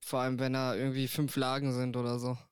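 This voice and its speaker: Smooth-voiced laid-back young man. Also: medium pitch, completely flat delivery, quick pace, no room sound, studio quality. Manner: laid-back, joking, colloquial. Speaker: podcast co-host. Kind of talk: casual chat over a voice call. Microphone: voice call over the internet.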